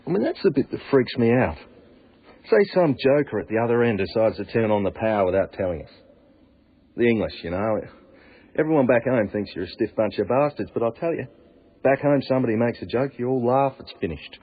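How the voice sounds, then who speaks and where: An actor playing a blunt Australian tunnel night worker in a radio drama, griping in colloquial speech with a husky voice. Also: male voice, medium pitch, lively intonation, fairly quick. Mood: wry griping. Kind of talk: naturalistic radio drama acting. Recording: narrow, lo-fi phone-like radio recording.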